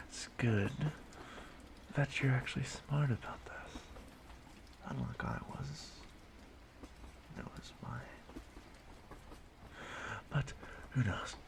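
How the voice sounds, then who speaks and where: A man playing an ageless stalker in an audio roleplay, speaking to his prey in a hushed, halting, softly menacing way, often breathy and whispering. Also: mid-range voice, background noise, heavily acted. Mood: wistful, ominous. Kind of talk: audio roleplay monologue addressed to the listener.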